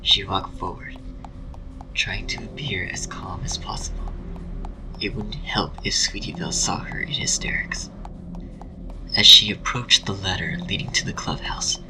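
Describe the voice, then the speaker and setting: A man with a breathy voice reading a dramatic story as narrator. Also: sits low, steady tone, a little slow, whispered, rough recording.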